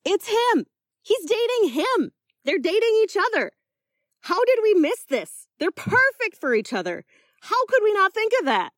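A woman voicing an excitable, affectionate, chatty friend in a fiction reading, animated and emphatic. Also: very high voice, measured pace, no room sound, studio quality, pitched above the performer's own voice, full character voice. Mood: thrilled disbelief. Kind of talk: acted dialogue in a fiction reading.

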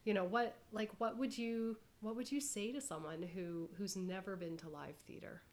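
A woman's voice: medium pitch, steady tone, normal speed. A woman hosting a casual interview, speaking tentatively and conversationally. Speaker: host.

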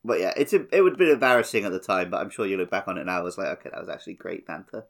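A man's voice: low voice, very fast, gravelly. A man co-hosting a gaming podcast in casual, joking conversation. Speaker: podcast co-host.

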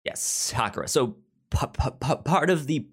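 A man's voice: fairly high pitch, lively intonation, normal speed, slightly rough. A young man co-hosting a podcast in casual review chat, stuttering and restarting words often. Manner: heavy stutter with many repeated word starts. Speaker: podcast co-host.

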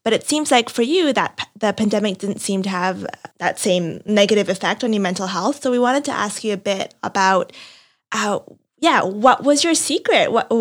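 A woman co-hosting a podcast in a friendly, enthusiastic way, with an occasional "uh" or "um". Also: mid-range voice. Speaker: podcast co-host. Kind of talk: casual podcast chat.

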